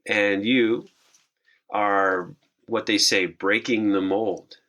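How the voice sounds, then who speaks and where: A very low-pitched man hosts a travel interview. He talks slowly with a bright tone and winds through long, reflective questions. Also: fairly even intonation. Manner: slow, reflective, rambling questions. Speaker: podcast host.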